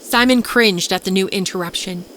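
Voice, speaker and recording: Narrator: woman, mid-pitched, reading scripted suspense fiction, clear background noise like vehicle ambience. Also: normal speed, fairly bright tone. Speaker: storyteller, podcast narrator.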